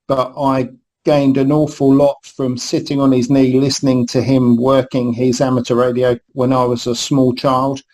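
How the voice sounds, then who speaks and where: A man in his fifties, born in Scotland, introduces himself in an interview. He talks haltingly, full of ums and restarts. His voice keeps a flat, even pitch throughout. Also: creaky, rough recording. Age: 50s. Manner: hesitant, with fillers and restarts. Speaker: new team member, guest. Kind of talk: interview answers.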